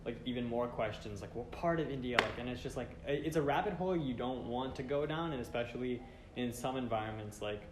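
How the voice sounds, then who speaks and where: A man's voice: mid-range voice, a little fast, heavy background noise. A young man from Boston, speaking frankly and chattily about his own experience. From Boston, United States. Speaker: student panelist. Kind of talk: casual personal testimony. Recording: roomy room with background music.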